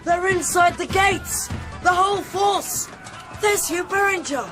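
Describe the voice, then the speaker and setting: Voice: very high-pitched, a little slow, over music. A performer playing an eager, headstrong young noble boy in a medieval radio drama. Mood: excited, urgent.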